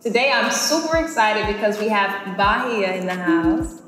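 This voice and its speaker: A woman's voice: mid-range voice, animated, moderate pace, a bit bright. Upbeat woman hosting in an echoey room. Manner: upbeat, enthusiastic, chatty. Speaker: interview host. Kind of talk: casual interview. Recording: echoey room with long reverb.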